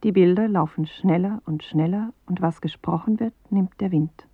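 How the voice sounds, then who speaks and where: A woman performing a pensive narrator in a poetic radio drama, speaking in measured, deliberate fragments on a muffled 1980s recording. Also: on the low side, husky. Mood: pensive, grave. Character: pensive female narrator of a dreamlike inner journey.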